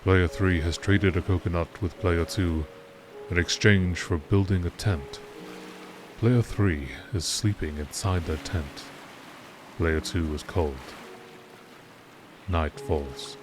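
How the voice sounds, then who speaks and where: Deep-voiced man narrating, documentary style. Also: slow, pauses often, music in the background. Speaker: narrator reading game lore.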